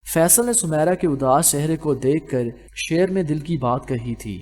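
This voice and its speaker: A male audiobook narrator with a fairly high voice reads a romantic novel. His delivery is measured and literary, like scripted storytelling. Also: lively intonation, a little mellow, faint music behind.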